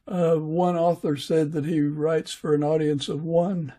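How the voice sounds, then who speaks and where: A man with a husky, gravelly voice hosting a conversational interview, speaking hesitantly with many halts. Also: fairly high pitch, level tone, mellow. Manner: hesitant, halting. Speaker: podcast host and fiction author.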